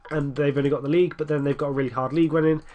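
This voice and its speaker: A man's voice: on the high side, fairly even intonation, rapid-fire, a little mellow. A young man with a stuffy nose from a cold, rambling through casual commentary over a football management game and urging his team on. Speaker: gaming YouTuber.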